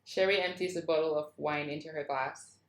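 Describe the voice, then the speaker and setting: A woman's voice: low voice, fairly even intonation, fairly quick. A woman narrator reading screenplay stage directions aloud. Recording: roomy, echoing sound.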